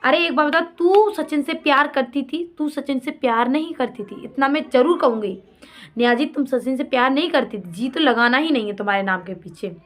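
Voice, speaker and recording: Scolding woman vlogger with a high voice. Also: fairly quick. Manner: scolding, indignant, emphatic with rhetorical questions and repetition. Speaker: vlogger commenting on a personal drama. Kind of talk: unscripted opinionated rant addressed to absent people.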